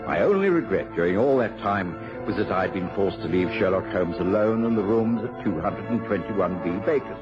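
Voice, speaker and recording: An actor playing a kindly, dutiful British gentleman in an old radio drama, genteel in his speech. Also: lowish voice. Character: kindly, dutiful married English gentleman, loyal friend. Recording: muffled vintage radio recording.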